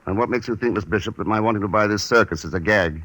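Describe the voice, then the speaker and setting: A man's voice: lowish voice, fast, fairly bright tone, dry recording. An actor playing a cool, shrewd male investigator in a vintage radio adventure drama, clipped and composed. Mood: cool, businesslike. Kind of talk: 1940s radio drama acting. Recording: noisy old radio recording.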